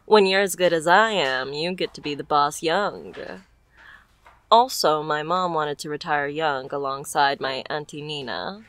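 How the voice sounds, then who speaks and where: Woman doing a flirty mafia boss lady for asmr roleplay, low voice, takes her time, teasing and smooth. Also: fairly bright tone. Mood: fond, smug. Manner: teasing, smooth-talking, unhurried.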